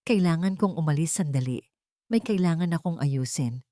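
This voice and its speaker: A woman voicing a serious young male businessman who speaks in a grave, hurried way. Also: deep voice, animated, dark tone, no echo, clean studio sound.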